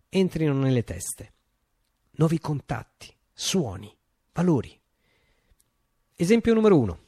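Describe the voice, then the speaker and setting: A man reciting a theatrical monologue for radio, declaiming with dramatic pauses and emphatic phrasing. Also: mid-range voice, a little mellow, dry recording, clean studio sound.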